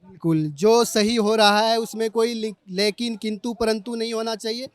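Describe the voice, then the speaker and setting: A man's voice: high voice, steady tone, normal speed, dry recording. A man working as a street reporter, putting questions to members of the public in vox pop interviews.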